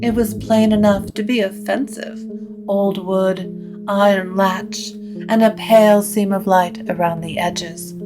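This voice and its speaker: A woman narrating scripted supernatural fiction in a measured, literary storytelling manner. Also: medium pitch, steady tone, music in the background.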